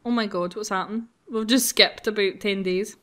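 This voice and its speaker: A woman's voice: medium pitch, fairly even intonation, normal speed, sharp tone. A playful, giggly woman hosting a gaming video, reading a visual novel aloud and bantering casually. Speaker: gaming video host, narrator.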